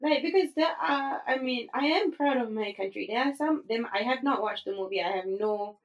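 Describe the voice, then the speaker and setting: A woman from Singapore talking as a guest in a casual interview, animated and full of fillers and restarts. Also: a little high, a little fast, dull audio. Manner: animated, many fillers and restarts, laughs often.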